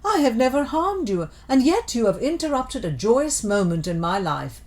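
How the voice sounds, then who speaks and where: A woman's voice: a little high, big pitch swings, normal speed, a little mellow, pitched above the performer's own voice. A woman voicing a proud, wounded male owl who speaks in accusing declarations in a storybook tale. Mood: angry, wounded.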